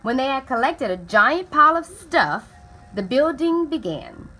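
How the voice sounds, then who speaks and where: A woman's voice: high voice, sing-song, measured pace, bright, forceful tone. A woman reading a children's picture book aloud expressively, drawing out key words for dramatic effect. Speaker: storybook reader.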